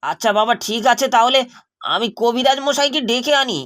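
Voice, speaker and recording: A man's voice: very high voice, fairly quick, fairly bright tone. A man acting a poor, troubled village husband and father in a cartoon, fretful and lamenting. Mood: worried.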